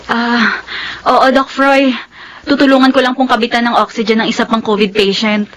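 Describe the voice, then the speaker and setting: An actress playing a dutiful, overworked hospital nurse in a radio drama. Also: female voice, on the high side, little pitch movement, moderate pace, fairly bright tone, close to an everyday voice.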